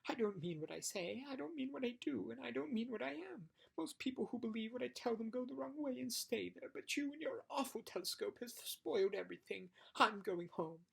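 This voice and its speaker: A woman's voice: animated, fairly quick, vocal fry, few pauses, a little mellow, slightly rough, full character voice. Woman doing a whimpering little demon. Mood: sobbing. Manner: high, whimpering and sobbing. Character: small furry sobbing male demon.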